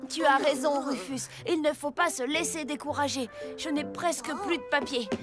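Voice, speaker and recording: Young boy hero, kids' cartoon, played by a woman: high, childlike, earnest, expressive, over prominent music. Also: moderate pace, lightly acted. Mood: thoughtful. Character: Nicolas, eager earnest young orphan boy.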